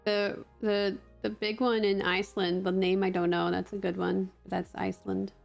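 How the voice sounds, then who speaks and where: A woman's voice: medium pitch, level tone, moderate pace, vocal fry, faint music behind. A woman chatting casually while playing a word game with friends, laughing often. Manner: chatty, laughs often, hums song lyrics. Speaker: game player. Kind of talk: casual gaming chat.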